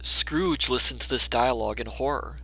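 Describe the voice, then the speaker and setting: A man's voice: medium pitch, moderate pace, sharp tone, no room sound, faint music behind, low-quality recording. A man narrating a classic tale as a storyteller, in a dramatic, scripted reading style.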